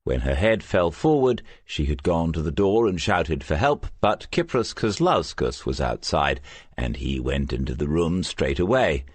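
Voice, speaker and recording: A man narrating a crime novel as an audiobook, with measured, scripted storytelling. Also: extremely low pitch, big pitch swings, husky, no room sound.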